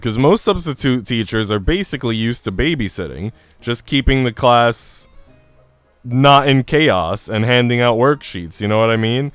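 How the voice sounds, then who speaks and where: A man chats casually on a game live stream, with slangy, profane, joking talk. His voice is smooth and clear at a middling pitch. The sound is dry, with some music in the background. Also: fairly bright tone, no room sound. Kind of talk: casual chat while streaming a visual novel.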